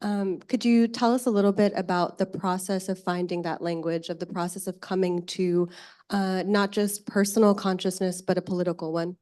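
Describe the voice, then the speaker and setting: A woman moderating a panel discussion, asking questions in a soft, hesitant way. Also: fairly low pitch, flat delivery, moderate pace. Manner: soft-spoken, hesitant with fillers.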